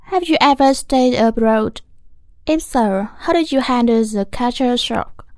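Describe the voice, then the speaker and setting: A woman reading scripted interview questions aloud in a clear, measured way for a language course. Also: fairly high pitch, a little mellow, dry recording.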